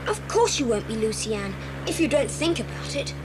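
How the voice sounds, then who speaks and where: A woman voicing a sensible, bossy young boy, brisk and authoritative, in a children's audio drama. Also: big pitch swings. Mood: brisk, reassuring. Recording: noisy cassette, vehicle rumble.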